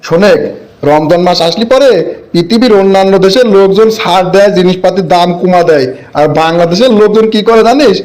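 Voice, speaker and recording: A man's voice: high-pitched, fairly quick, darkish tone, close to an everyday voice, poor audio. A man voicing a cheeky, indignant older devil in a comic cartoon, ranting in colloquial exclamations. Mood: eager, scheming.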